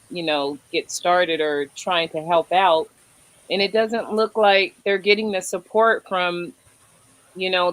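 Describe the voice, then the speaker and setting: A woman's voice: lowish voice, a bit bright, low-quality recording. A woman with a Brooklyn accent co-hosting a casual livestream chat, rambling and filling nearly every phrase with "you know". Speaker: livestream co-host.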